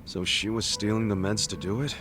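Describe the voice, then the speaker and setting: A man's voice: lowish voice, steady tone, a little fast, natural voice. An actor playing an earnest, plain-spoken male friend in a video game, talking colloquially and sympathetically with an American accent. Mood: curious, surprised. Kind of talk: video game dub, conversational. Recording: music behind the voice.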